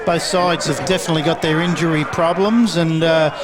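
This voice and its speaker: A man with an Australian accent leading sports commentary, brisk and fluent as he reels off names, fixtures and scores. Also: fairly high pitch, steady tone, poor audio. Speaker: lead commentator. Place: outdoor football ground. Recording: outdoor commentary with clear crowd.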